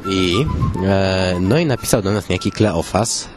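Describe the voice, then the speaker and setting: A man co-hosting a comedy podcast, talking in a mock-serious, bantering and somewhat halting way. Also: deep voice, over music. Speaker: comedy podcast co-host and street interviewer.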